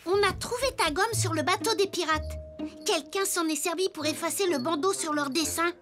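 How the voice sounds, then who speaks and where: A very high, childlike cartoon voice for a little wooden toy boy detective. He is earnest and inquisitive, asking clear questions over background music. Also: normal speed. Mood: serious, investigating.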